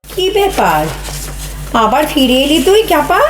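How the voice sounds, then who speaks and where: A high, expressive female voice of an actress as a bickering wife in a comedy play. She is sarcastic and scolding, mocking her husband with theatrical flair. There is room echo and faint music behind. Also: a little slow, a little hiss or hum. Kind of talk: Bengali audio comedy play acting.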